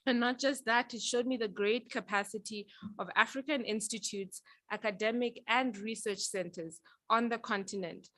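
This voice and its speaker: Young woman from zambia, bright clear voice, earnest panel talk with a few ums, dry close sound. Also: fairly high pitch, fairly even intonation, moderate pace, few pauses, no echo. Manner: earnest, reflective, with some filler ums. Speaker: panel speaker. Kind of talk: prepared personal talk at a panel.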